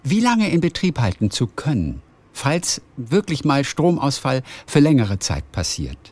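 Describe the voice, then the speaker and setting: A man's voice: medium pitch, wildly swooping pitch, measured pace, darkish tone. A man interviewing a guest in a casual, friendly way, asking curious follow-up questions.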